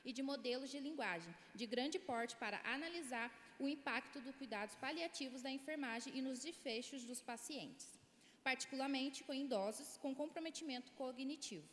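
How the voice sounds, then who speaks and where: A woman hosting an event reads a formal introduction from a script, with an occasional slip. Her voice sits fairly high. The recording is echoey, with a long hall reverb and faint room ambience. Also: a bit bright, a little hiss or hum. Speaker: event host introducing the speaker. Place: large auditorium.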